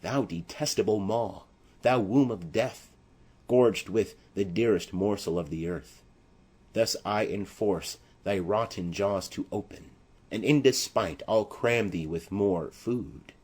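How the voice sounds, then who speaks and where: A desperate young banished lover from a classical tragedy, played by a male reader. He declaims in a low, husky voice, grave and menacing, with an unhurried, savage intensity. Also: a little mellow. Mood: savage, grim. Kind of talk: classical tragedy audiobook acting.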